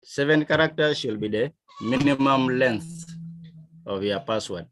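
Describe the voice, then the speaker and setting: A man from South Sudan with a South Sudanese accent, teaching a lesson in a deliberate, emphatic manner. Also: mid-range voice, fairly bright tone, slight background noise. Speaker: instructor. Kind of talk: digital literacy lesson, explaining to learners.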